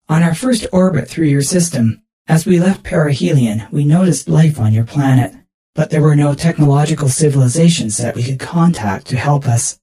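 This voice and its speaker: A man voicing a ghostly ancient alien projection in an audio story, solemn and welcoming, speaking in measured, stately explanation. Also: mid-range voice, warm tone, breathy edge, recorded from a distance, voice pushed up, poor audio.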